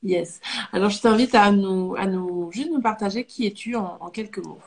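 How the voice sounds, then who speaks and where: A woman hosting a live interview, nasal and hoarse from a cold, welcoming her guest with many fillers. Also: mid-range voice, moderate pace. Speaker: host, life coach.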